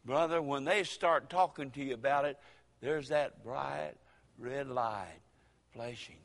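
Older preacher in an echoing church. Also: male voice, mid-range voice, vocal fry. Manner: folksy preaching with dramatic pauses and sudden loud rises. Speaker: pastor. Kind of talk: sermon.

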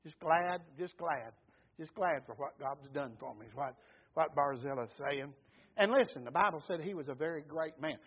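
A man preaches in a folksy, emphatic way, pausing to call for amens from the congregation. His voice sits high and sounds husky. The recording is thin and phone-like. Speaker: pastor. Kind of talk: sermon.

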